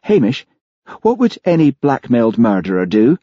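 A man's voice: medium pitch, big pitch swings, normal speed, dark tone, slightly rough, dry recording, pitched above the performer's own voice. A man voicing Elspeth, an intuitive woman reporter, speaking directly. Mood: urgent. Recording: dull lo-fi, dry.

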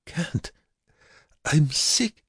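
A man voicing a sick, dying male prisoner in an audiobook, weak, halting and mumbling but stubborn. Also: dry recording, studio quality. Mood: pleading. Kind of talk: audiobook character voice.

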